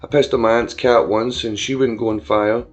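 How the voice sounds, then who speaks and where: A man voicing a calm, creepy, deferential henchman in an audio story, speaking casually and matter-of-factly. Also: lowish voice, normal speed, faint music behind, lightly acted.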